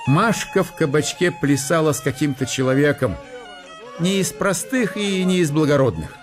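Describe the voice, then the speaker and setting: A man narrating a radio play in a measured storytelling manner. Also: fairly high pitch, animated, soft background music.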